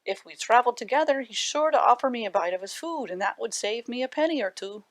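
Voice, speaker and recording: A woman's voice: on the high side, animated, quick pace, close to an everyday voice. A woman voicing a boastful, greedy young male village harpist in a storybook, sneering and self-important. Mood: scheming.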